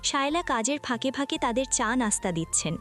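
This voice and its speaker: A woman's voice: high-pitched, expressive, brisk, fairly bright tone, soft background music. A woman reading scripted narration for a romantic family audio story.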